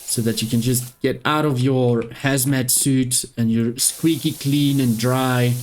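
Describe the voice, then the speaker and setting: Slow-talking Afrikaans-speaking man gaming. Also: mid-range voice, a little hiss or hum. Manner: relaxed, talking to himself while playing. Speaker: gaming YouTuber. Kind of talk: casual gameplay commentary.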